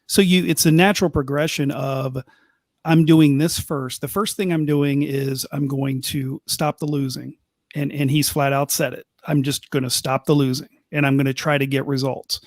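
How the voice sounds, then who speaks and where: A man co-hosting a soccer podcast, laying out an argument in quick bursts and repeating words as he builds it. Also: on the high side, fairly even intonation, no room sound. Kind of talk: casual analytical chat.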